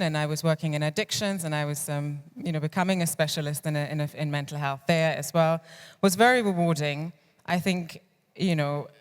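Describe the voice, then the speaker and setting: A woman's voice: deep voice, clean tone. A woman in her thirties from Germany whose first language is German, giving a candid personal talk at a conference as a clinical psychologist. She tells her story reflectively and often restarts her sentences. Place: conference hall. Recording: long reverb of a large hall.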